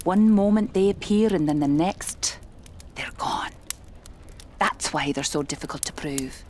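A woman's voice: mid-range voice, a little mellow, slight background noise, close to an everyday voice. A woman playing a commanding, mysterious spirit medium of the 1920s in a horror audio drama, with formal, theatrical diction. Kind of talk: dramatic horror podcast acting.

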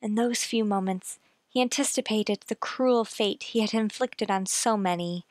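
A woman acts out a frightened, genteel heroine in a period suspense radio play. She speaks slowly and earnestly at a medium pitch, with haunted drama. The recording is close and dry. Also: no room sound, close to an everyday voice. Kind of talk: old-time radio suspense acting.

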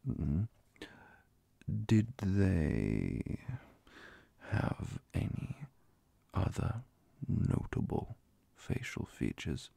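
Man playing a very slow, low, gravelly vampire. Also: big pitch swings, dark tone, no room sound, heavily acted. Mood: soothing, measured. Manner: slow, deliberate, measured questioning. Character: calm, formal male vampire inquisitor, centuries-old authority. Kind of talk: ASMR roleplay, soft-spoken and whispered.

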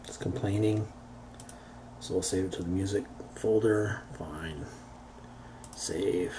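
A man giving an unscripted how-to software demonstration, thinking aloud in a halting way as he works. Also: deep voice, fairly even intonation. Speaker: tutorial presenter. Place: indoors, beside a computer. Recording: homemade recording with background noise.

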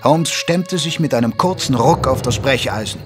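An actor playing a Victorian gentleman doctor who narrates his detective friend's case in measured, literary audio drama style. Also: medium pitch, expressive, close to an everyday voice. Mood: measured storytelling. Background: music under the voice.

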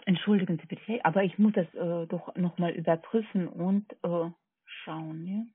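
A woman's voice: fairly low pitch, fairly even intonation, moderate pace, breathy edge, no room sound. A woman with a foreign accent and non-native German, working as a call centre agent, hesitantly checking and repeating order details over a phone line. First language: not German. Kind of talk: phone sales conversation. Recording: tinny narrowband phone line.

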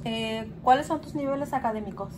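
A young woman reads questions off her phone in a small room at home. Her voice sits somewhat high, and she keeps her prompts short. Background noise is clearly audible. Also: fairly quick. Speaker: interviewer reading questions. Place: small indoor room, plain wall.

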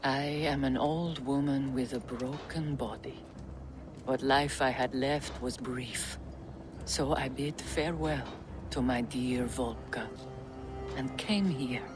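An actress playing an old woman seer, calm and resigned, speaking gently and soothingly. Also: very deep voice, slow. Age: older. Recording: game audio with music behind.

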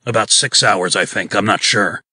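A raspy, easygoing middle-aged smith acted by a man in a comic dub. He is a wry, resigned captive, recorded dry and close. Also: fairly low pitch, moderate pace, sharp tone, no room sound, exaggerated voice. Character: resigned, folksy middle-aged male blacksmith in chains. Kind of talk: amateur comic dub acting.

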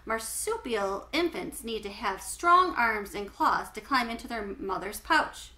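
A woman's voice: a little high, animated, unhurried, sharp tone. Woman reading as an earnest, matter-of-fact young boy. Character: earnest, protective, literal-minded young boy.

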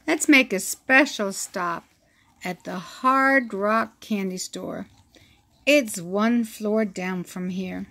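A woman reading a children's picture book aloud, with an expressive storytelling delivery. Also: medium pitch, vocal fry. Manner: slow, expressive reading with acted-out sound effects.

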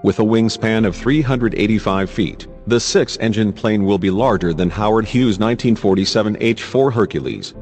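A man's voice: fairly low pitch, darkish tone. A man reading scripted news narration as a narrator. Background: music.

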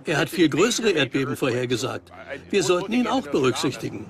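A man's voice: a little high, big pitch swings, brisk, a crowd in the background. A man voicing an inquisitive investigator on a documentary team, in a dubbed style. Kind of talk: documentary dub. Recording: music under the voice.

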